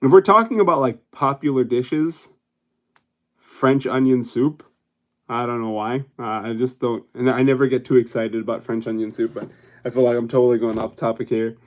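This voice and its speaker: A young man from Hawaii chats loosely with his livestream viewers. He rambles from one point to the next, drifts off track and laughs easily. His voice sits at an ordinary middle pitch. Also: fairly even intonation, moderate pace. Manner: rambling, chatty, laughs often. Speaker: YouTube livestream host. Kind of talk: casual livestream chat with viewers.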